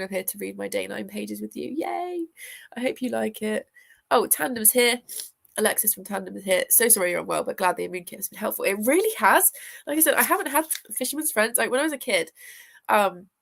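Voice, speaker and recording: A young woman chats on a live stream, her voice congested and sniffly from a chest infection. It carries a gravelly, creaky edge at a middle pitch, and she rambles casually with plenty of fillers. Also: fairly bright tone. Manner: chatty and rambling, lots of fillers, greets viewers. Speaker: booktuber hosting a live reading sprint; teacher.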